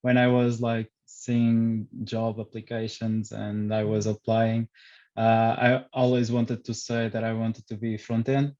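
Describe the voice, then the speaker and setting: A man's voice: on the low side, flat delivery, a little slow, dark tone, lo-fi sound. A young man with a Portuguese accent, a podcast guest answering interview questions with many hesitations and restarts. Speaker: podcast guest, frontend developer.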